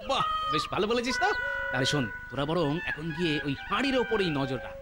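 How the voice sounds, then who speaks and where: A clever, unflappable cartoon jester, sounding calm and assured. His voice rises and falls freely, with music running under him. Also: a little high, fast. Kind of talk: cartoon voice acting. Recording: studio dub with background music.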